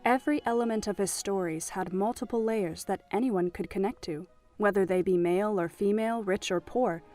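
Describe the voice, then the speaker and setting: A woman with a mid-pitched voice reads scripted narration for an audio drama. She tells the story as narrator, with soft music underneath.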